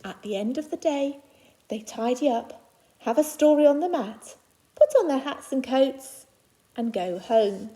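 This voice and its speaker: A woman's voice: sits high, animated, measured pace, dark tone, rough recording. A woman teacher reading a children's picture book aloud to young pupils, phrasing it simply and adding reassuring asides in her own words.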